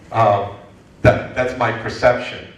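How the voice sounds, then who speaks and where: A man in his fifties from the United States, answering an audience question at a lecture in a lively, joking way with many restarts. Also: medium pitch, slight background noise. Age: 50s. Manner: animated, joking, frequent restarts. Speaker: guest speaker, entrepreneurship lecturer. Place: lecture hall. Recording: echoey hall from far off.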